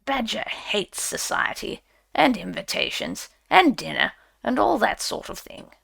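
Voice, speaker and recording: A woman's voice: medium pitch, sing-song, unhurried, vocal fry, a bit bright, breathy edge, heavily acted. A woman voicing a friendly but anxious male water rat in a storybook, emphatic and evasive. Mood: easygoing.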